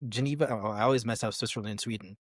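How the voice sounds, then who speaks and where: A man's voice: lowish voice, rapid-fire, no room sound, clean studio sound. A man hosting a podcast interview, asking questions in an easygoing way, with lots of fillers. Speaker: podcast host. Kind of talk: casual interview talk.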